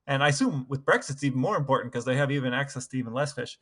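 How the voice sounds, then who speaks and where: A man's voice: mid-range voice, rapid-fire, a bit bright. A man co-hosting a podcast, chatting casually and with humour. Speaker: podcast co-host. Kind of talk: casual humorous chat.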